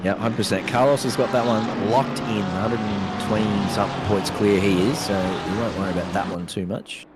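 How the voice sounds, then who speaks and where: A man's voice: lowish voice, fairly even intonation, moderate pace, hardly any pauses, over loud music. A man giving casual, slangy live race commentary, his voice a little off from being sick. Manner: casual, chatty, uses slang. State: slightly sick voice. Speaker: sim racing commentator.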